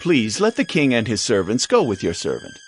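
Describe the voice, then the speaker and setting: A man's voice: medium pitch, wildly swooping pitch, brisk, slight background noise. A man playing a guarded, protective prince in a dramatized audio Bible. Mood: courteous, calculating. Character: guarded prince, protective brother hiding his grudge.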